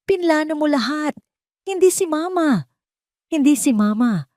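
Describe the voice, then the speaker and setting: A woman's voice: expressive, measured pace, no room sound, studio quality. A woman voicing a small, frightened and angry boy crying out accusations in an audio story. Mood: angry, scared. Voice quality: high, small child's voice. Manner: shouting accusations. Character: frightened, furious little boy defending his mother.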